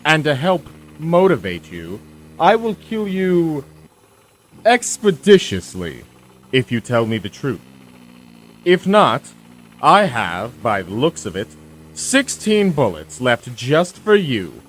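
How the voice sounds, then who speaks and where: A man's voice: on the high side, huge pitch swings, a bit bright, exaggerated voice. An actor playing a grandiose, taunting male villain in an audio drama, drawing out his words with menace. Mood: menacing, mocking. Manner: theatrical, taunting, drawn-out delivery.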